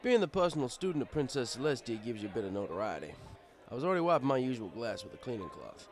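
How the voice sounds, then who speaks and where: A man's voice: mid-range voice, very animated, close to an everyday voice. Man as wry fatherly pony bartender, music behind. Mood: wry, settled. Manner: wry, conversational, unhurried. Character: easygoing fatherly male pony bartender telling his tale. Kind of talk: first-person audiobook narration in character.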